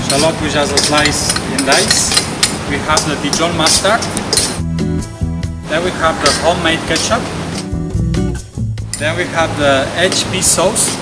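A man in his thirties demonstrates a dish on an outdoor terrace, explaining each step in halting non-native English full of small fillers. His voice sits a little high and distant from the mic, with loud music playing behind him. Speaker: chef. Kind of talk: cooking demonstration. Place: outdoor hotel terrace kitchen.

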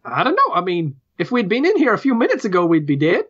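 A man's voice: sits high, wildly swooping pitch, quick pace, a bit bright, slightly rough, dull audio, pitched above the performer's own voice, full character voice. A man voicing a chatty little male squirrel townsperson in a tabletop game.

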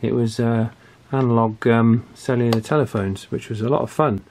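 A middle-aged man with a mid-pitched voice talks through hands-on technical work in an informal way. He speaks hesitantly, thinking aloud, in the manner of a repair technician presenting from the bench. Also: fairly even intonation, moderate pace, a little mellow, slight background noise. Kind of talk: informal hands-on workbench commentary. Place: small indoor workshop, at the bench.

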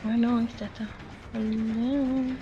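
Slow-paced woman murmuring over a game. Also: fairly high pitch, little pitch movement, muffled recording. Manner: hushed, murmuring to herself, with English interjections. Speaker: gaming channel host. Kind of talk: casual horror game commentary. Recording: clearly noisy, game sounds behind. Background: game ambience.

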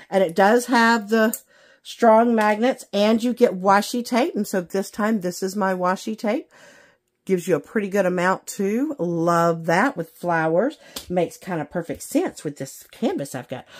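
A woman chatting casually to viewers as a craft vlogger, in a gushing, exclamatory, folksy way. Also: mid-range voice. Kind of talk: casual unboxing chat to viewers. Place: indoors at a craft table.

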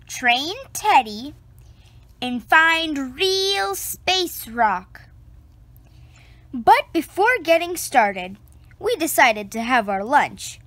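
A woman reading a children's picture book aloud, slow and expressive, close to the camera indoors. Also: no room sound. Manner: slow, expressive storytelling with lively pitch.

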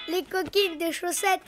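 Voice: very high-pitched, sharp tone, slight background noise, full character voice. A performer voicing a playful, easily upset little boy donkey in a children's cartoon, childlike and sing-song.

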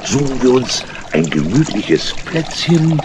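An actor playing a sly, falsely chummy tramp in a children's audio play, with an oily, folksy drawl. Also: male voice, medium pitch, big pitch swings, slow pace, background noise. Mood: wheedling, crafty. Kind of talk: children's audio drama acting.

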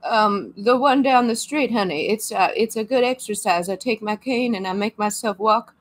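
A woman's voice: medium pitch, moderate pace, natural voice. A woman putting on the voice of a forgetful eighty-nine-year-old grandmother who rambles and mishears things. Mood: rambling. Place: small home room, indoors.

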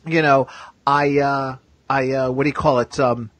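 A man's voice: medium pitch, level tone, normal speed, a bit bright, no room sound. A man hosting a podcast in casual live chat, talking chattily and often repeating himself. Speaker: podcast host.

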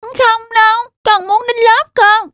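A woman voicing an eager, playful little boy in a children's cartoon, with an excited, childlike manner. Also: very high-pitched, a little slow, dry recording, clean studio sound, full character voice.

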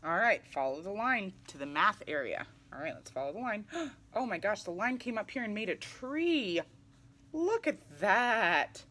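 A woman reading a picture book aloud to young children in an animated, exclamatory way. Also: mid-range voice, normal speed. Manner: animated, exclamatory, with audible gasps. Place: small indoor room, seated in armchair.

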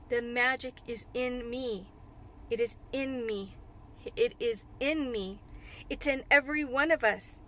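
A woman voicing an earnest, imperious young boy in a storybook, speaking gravely and with priestlike solemnity. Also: very high voice, sharp tone, slight background noise, heavily acted. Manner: solemn, declamatory.

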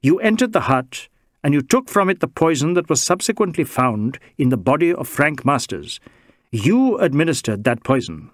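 A man voicing a stern male police inspector who presses questions sharply and deliberately. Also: on the high side, sing-song, no echo, studio quality. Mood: accusing.

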